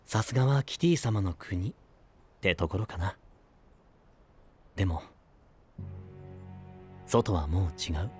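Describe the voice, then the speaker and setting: A man playing a calm, gently serious young knight in an anime-style voice drama, measured and sincere. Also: sits low, faint music behind. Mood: grave.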